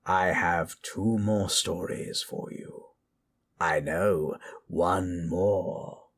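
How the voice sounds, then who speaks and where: A man's voice: deep voice, fairly even intonation, extremely slow, slight background noise. A man hosting and narrating scary stories with theatrical, macabre relish, moving between dramatic storytelling and musing commentary. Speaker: horror story narrator and host.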